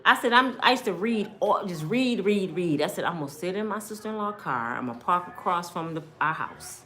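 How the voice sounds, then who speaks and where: An American woman tells a personal story straight to her viewers. Her voice is bright and forceful, with pitch that moves widely. She is blunt and expressive, voicing both sides of conversations as she recounts them. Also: fairly quick. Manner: blunt, expressive, acts out dialogue. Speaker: storytime vlogger.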